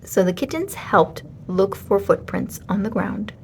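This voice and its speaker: A woman reading a children's story aloud as an expressive storyteller. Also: mid-range voice, unhurried, gravelly, a little hiss or hum.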